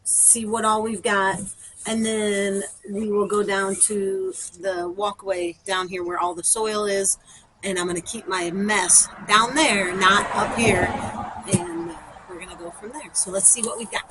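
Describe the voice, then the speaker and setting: A woman's voice: mid-range voice, fairly even intonation, measured pace, a bit bright, a little hiss or hum, rough recording. A woman chatting casually and thinking aloud as she demonstrates for a garden vlog. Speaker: garden vlogger.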